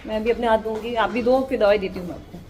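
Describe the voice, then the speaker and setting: A woman's voice: medium pitch, very fast, background noise. A young woman talking to the camera in a casual vlog, telling a story earnestly with encouraging asides. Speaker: vlogger.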